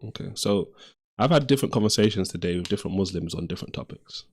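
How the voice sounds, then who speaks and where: A man's voice: deep voice, expressive, fast, a little mellow. A man hosting a live call-in debate stream, blunt and pressing with his questions, often repeating himself. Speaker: livestream debate host.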